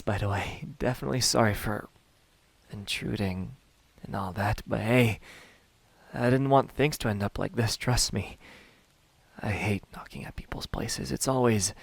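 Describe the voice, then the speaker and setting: Man playing a hushed, husky, wounded demon hunter. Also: on the low side, dry recording. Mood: wry, strained. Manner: hushed, breathy, sardonic. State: in pain from a wound. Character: wounded, cocky, world-weary male demon hunter. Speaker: ASMR roleplay voice actor. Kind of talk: ASMR roleplay acting.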